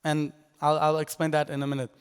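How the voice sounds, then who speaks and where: A man's voice: a little high, completely flat delivery, fast, smooth. A young man from Denmark presenting his podcast at a conference, talking casually and rambling with fillers and restarts. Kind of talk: conference talk. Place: large conference hall. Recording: reverberant hall.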